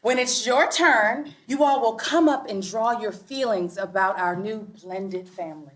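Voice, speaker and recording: An American woman playing a strong-willed, affluent suburban mother and widow in a live comedy script reading, frank and expressive. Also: medium pitch, a little slow, slight background noise, lightly acted. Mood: testy.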